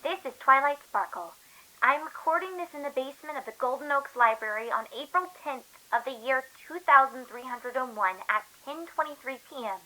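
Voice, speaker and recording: A woman plays a young female pony student in a dark audio drama. Her voice is high and piercingly bright. She speaks with clinical precision and a false sweetness, coldly curious and quite unhinged. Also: lively intonation, brisk, rough recording. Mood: clinical, detached. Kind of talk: dramatic fan fiction audio reading.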